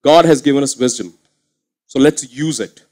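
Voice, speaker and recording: A man's voice: medium pitch, moderate pace. Earnest man preaching a sermon. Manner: earnest preaching, swings from quiet to emphatic. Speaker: pastor. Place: church stage with curtain backdrop. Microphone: headset mic.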